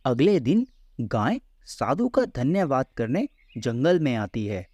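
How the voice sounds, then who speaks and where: A man's voice: mid-range voice, big pitch swings, takes it slowly, darkish tone. A man narrating a scripted children's story as a storyteller in a close voice-over.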